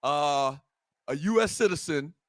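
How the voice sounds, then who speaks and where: A man with a high-pitched voice and a dry, close sound co-hosts a casual talk show. He is animated and blunt, and swears freely as he chats about sports and current events. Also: a little slow, no echo.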